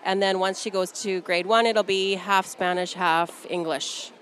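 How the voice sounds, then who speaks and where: A woman with a medium-pitched voice answers an interviewer casually. She speaks plainly and affirmatively, with faint ambience in the recording. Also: steady tone, measured pace, slight background noise. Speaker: parent being interviewed.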